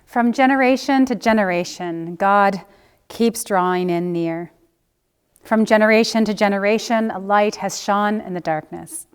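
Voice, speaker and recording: A woman minister leading a scripted church prayer in a measured, reverent way, phrase by phrase. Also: mid-range voice, clean tone, dry recording, studio quality.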